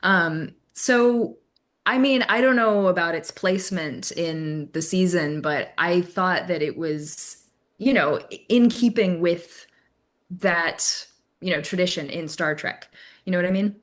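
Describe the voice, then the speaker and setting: A woman with a slightly low voice is a guest on a podcast panel. She chats informally and feels her way tentatively through her point. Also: measured pace, fairly bright tone. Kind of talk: casual panel chat.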